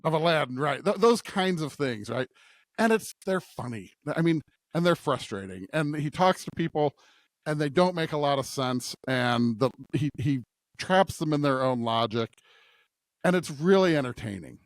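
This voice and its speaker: A man's voice: fairly high pitch, lively intonation, normal speed, no echo. A man hosting a conversational scripture discussion on a podcast, thinking aloud and often checking in with a questioning "right?". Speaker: podcast host.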